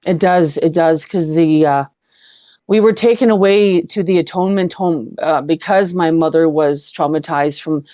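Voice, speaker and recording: A middle-aged woman with a western Canadian accent, telling personal stories as an interview guest in an earnest, halting way, repeating words for emphasis. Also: low voice, warm tone, no echo, lo-fi sound. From Edmonton, Canada. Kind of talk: personal storytelling in a live online interview.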